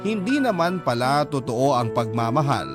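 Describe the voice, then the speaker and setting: A man's voice: mid-range voice, sing-song, moderate pace, music in the background. A man narrating a first-person confessional story as a radio drama host reading out a listener's letter.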